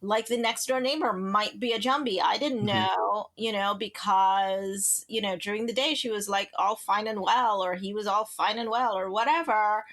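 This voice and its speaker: A woman's voice: mid-range voice, fairly even intonation, normal speed, hardly any pauses, a bit bright. A woman from Trinidad and Tobago, chatting as an author guest on an interview podcast, rambling with lots of fillers and restarts. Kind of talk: casual interview conversation.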